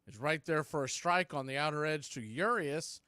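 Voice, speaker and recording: A man calling live baseball play-by-play in an excitable, folksy radio style. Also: a little high, normal speed, no echo, studio quality. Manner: excitable, folksy exclamations on big plays.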